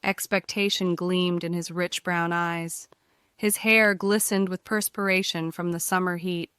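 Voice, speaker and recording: A woman's voice: fairly low pitch, a bit bright, clean tone, no room sound, clean studio sound. A woman reading scripted fiction narration for an audiobook.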